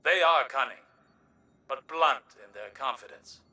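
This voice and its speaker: A man's voice: mid-range voice, animated, measured pace, pushed and bright, far from the mic. A man voicing a grave, intense older scholar in a video game, formal and emphatic.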